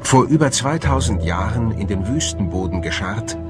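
A man reads scripted documentary narration in a measured, solemn way. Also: fairly low pitch, animated. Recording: music bed under the voice. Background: music.

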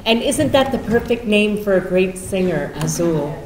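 A woman's voice: mid-range voice, expressive, moderate pace. A middle-aged woman giving an introduction speech at a public event, speaking into a podium microphone. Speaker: poet introducing a performer. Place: large meeting room with seated audience. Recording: roomy hall, some background noise.

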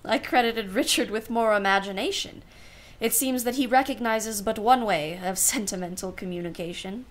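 A woman's voice: medium pitch, moderate pace, natural voice. A woman playing a proud, wry, refined aunt in a period radio play, with formal, precise diction. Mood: dry, arch.

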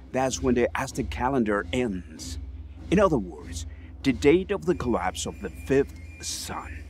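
A man playing an earnest shaman and history teacher in an audio drama, with a measured, mystical manner. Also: lowish voice, a little mellow.

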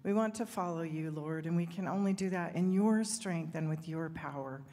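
Woman leading prayer, deep voice, fervent, long hall reverb. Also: normal speed, mellow. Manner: heartfelt, fervent. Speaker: prayer leader. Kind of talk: spoken prayer. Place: church sanctuary stage. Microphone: handheld microphone, far camera.

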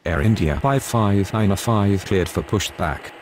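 A man plays an airline pilot talking to the tower in a video game. The voice is very deep and the scripted calls are clipped and procedural. There is faint noise behind it. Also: animated, measured pace. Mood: businesslike. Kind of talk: scripted radio phraseology.

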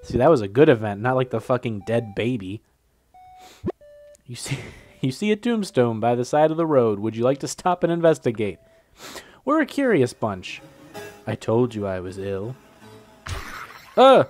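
A man's voice: medium pitch, sing-song, moderate pace, long pauses, a little mellow, faint music behind. A young man with a cold, commenting casually on a game he is playing, reading its text aloud, swearing and laughing as he gets flustered. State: getting over a cold, sniffling. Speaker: gameplay commentator.

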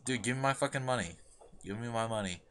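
A man's voice: mid-range voice, fast, a bit bright, a little hiss or hum. A young man arguing on an internet voice call, aggressive and profane, demanding the same thing over and over. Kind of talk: heated argument on an internet voice call.

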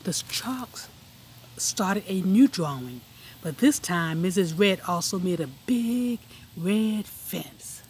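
Expressive, slow-reading woman storyteller. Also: fairly low pitch, a little hiss or hum. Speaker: storybook reader. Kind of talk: children's read-aloud narration.

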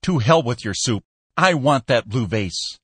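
A man's voice: medium pitch, animated, unhurried, slightly rough, dry recording. A man voicing an exhausted, frantic, insistent salesman in a storybook. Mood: demanding. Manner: insistent, demanding, raving. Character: exhausted, frantic, determined young war-veteran salesman.

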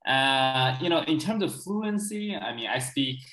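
A man's voice: medium pitch, expressive, unhurried, sharp tone, roomy sound, rough recording. A man in his thirties, a native Chinese speaker, explaining at length in a casual interview over a video call from a small room, often adding "right?" and "you know". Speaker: guest expert. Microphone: video call, laptop camera.

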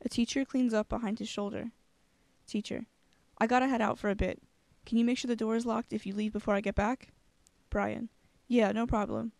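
A woman with a fairly high voice reads from a screenplay. She delivers scene directions and character lines as a scripted dramatic reading. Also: steady tone, a little fast, gravelly, a little mellow. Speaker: screenplay reader.

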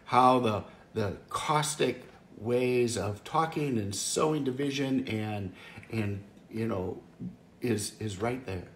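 A man's voice: medium pitch, slow. A man in his fifties, a pastor giving an earnest, conversational home devotional from his living room, often restarting his phrases. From Wisconsin, US. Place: living room by a fireplace, indoors. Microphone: camera or phone close up, seated. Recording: echoey room, faint background hiss.

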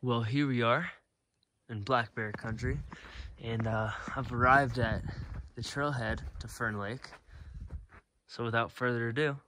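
A man's voice: mid-range voice, fairly even intonation, a little slow, pauses often, bright, forceful tone, a little hiss or hum. A young man in his twenties chatting upbeat to a phone camera while hiking a snowy mountain trail, a little out of breath. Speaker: vlogger. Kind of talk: casual hiking vlog to camera. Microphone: phone held at arm's length.

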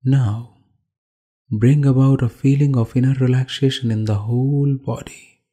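A man with an Indian accent leading a guided meditation as a yoga instructor, calmly giving short instructions with pauses between phrases. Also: mid-range voice.

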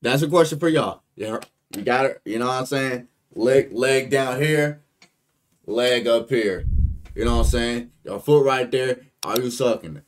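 Laid-back American streamer, mid-pitched man. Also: moderate pace. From United States. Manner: loose, rambling and joking, talking to chat. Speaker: streamer reacting to a video. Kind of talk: casual live-stream commentary.

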